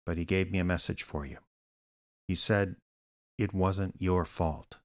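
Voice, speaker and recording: An actor playing an older, wry private eye with a dry, sardonic, kindly manner in a radio drama. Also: male voice, deep voice, fairly even intonation, measured pace. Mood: gentle, earnest. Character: aging wry small-town private eye, gruff but kindly. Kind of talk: radio drama acting with narration.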